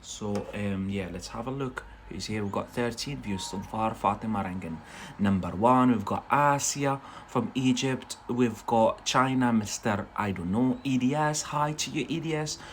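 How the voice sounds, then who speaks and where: A man's voice: mid-range voice, moderate pace, slight background noise. Chatty Algerian man with a Scottish-tinged accent. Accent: Algerian, with a Scottish influence. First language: not English. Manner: chatty, rambling, with many fillers and tag questions. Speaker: English teacher and livestream host. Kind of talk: casual livestream lesson.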